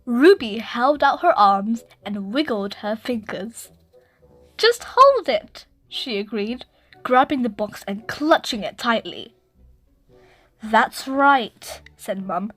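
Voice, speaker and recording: A woman reading a children's story aloud in an expressive narrating style. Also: fairly high pitch, a little slow. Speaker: storybook reader. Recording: roomy echo, music.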